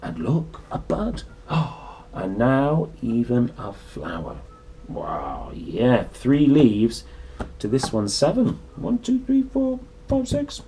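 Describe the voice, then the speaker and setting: A young man reading a picture book to children expressively, with dramatic pauses, soft exclamations and little asides. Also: medium pitch, a little hiss or hum, rough recording. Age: young adult. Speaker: storybook reader and presenter. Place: small indoor room.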